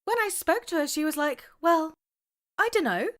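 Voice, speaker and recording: Woman as a high, swooping, gossipy British cousin. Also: expressive, moderate pace, fairly bright tone. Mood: blasé, gossipy. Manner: chatty, offhand. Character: flighty, blasé, gossipy female cousin being interviewed. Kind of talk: audio comedy sketch acting.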